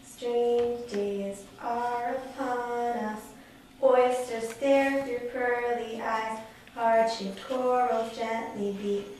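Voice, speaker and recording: A woman's voice: fairly high pitch, a little mellow. A woman playing an obedient spirit servant of a sorcerer, speaking formally in theatrical verse and dialogue. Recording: very echoey, distant, noisy room.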